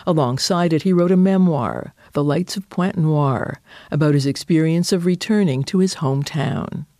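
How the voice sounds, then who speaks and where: A woman radio host reading a measured, precise introduction and then interviewing a guest. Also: low-pitched, warm tone, dry recording, clean studio sound.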